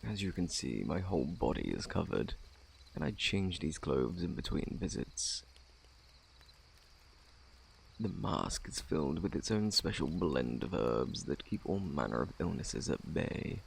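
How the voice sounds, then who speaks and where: A very deep, gravelly British male plague doctor acted by a man speaks softly, as in an ASMR roleplay. His phrasing is formal and fussy, with lively swoops in pitch and a faintly sinister politeness. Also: normal speed, slight background noise, full character voice. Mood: grave, ominous. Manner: formal, fussy, self-assured. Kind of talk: ASMR roleplay, soft close speech.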